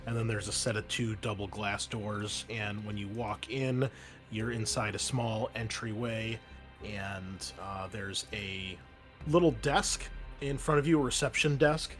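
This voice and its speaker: A man narrating a tabletop roleplaying game as game master in a casual, conversational, halting way. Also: fairly low pitch, soft background music.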